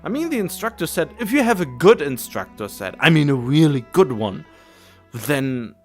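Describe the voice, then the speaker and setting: A man's voice: fairly high pitch, big pitch swings, normal speed, soft background music. A man livestreaming a video game, chatting casually and thinking aloud in a rambling, musing way, often correcting himself.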